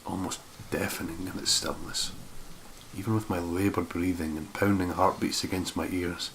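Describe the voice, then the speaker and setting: A man reading horror game narration aloud in a dramatic, suspenseful, hushed way. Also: deep voice, steady tone, moderate pace, slight background noise, low-quality recording. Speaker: let's play narrator. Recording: loud music behind the voice. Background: game music.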